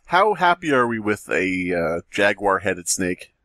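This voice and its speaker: A man's voice: lowish voice, sing-song, unhurried, bright, forceful tone, no echo, studio quality. A man hosting a comedy podcast, reading aloud and joking in a sarcastic, sweary way. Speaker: podcast host. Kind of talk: comedic read-aloud and banter.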